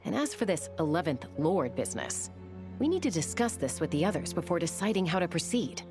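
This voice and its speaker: Game role: cautious female protector, lower register, practical and serious. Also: very animated, brisk, over music. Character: level-headed, protective woman leader, cautious and caring. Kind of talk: video game voice acting.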